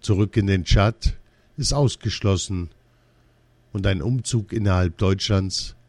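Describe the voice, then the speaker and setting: A man reads a personal account aloud in an unhurried, earnest manner. His voice sits low and sounds warm and dark. The recording is dry and close, with no room sound. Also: no room sound. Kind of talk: reading a first-person testimony aloud.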